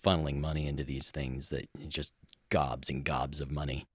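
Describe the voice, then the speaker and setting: A man's voice: rumbling low, level tone, moderate pace, breathy edge, dry recording, clean studio sound. A man talking casually as a podcast co-host. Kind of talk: casual co-hosted podcast discussion.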